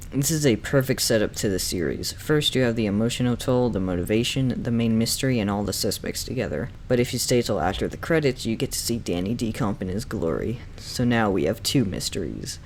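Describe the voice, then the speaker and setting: A man's voice: mid-range voice, lively intonation, fairly quick, hardly any pauses. A man giving semi-scripted review commentary in an opinionated, enthusiastic fan's way. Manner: opinionated, enthusiastic fan talk. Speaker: reviewer and narrator of a video essay. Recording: clearly noisy background.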